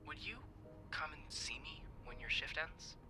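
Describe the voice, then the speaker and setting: An actor playing a soft-spoken, bookish young hotel worker in a video game, shy and warm. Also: male voice, on the high side, measured pace, over music, lightly acted. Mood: tender, hopeful. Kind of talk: game voice acting.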